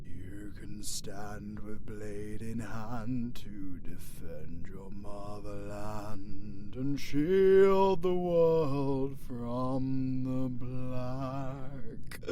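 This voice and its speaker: A British man voicing a gleeful, darkly flirtatious undead necromancer villain in a theatrical audio roleplay, swinging between hushed murmurs and shrill outbursts. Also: steady tone, drawn-out words, music in the background. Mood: hushed, menacing. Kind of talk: dark comedic audio roleplay acting.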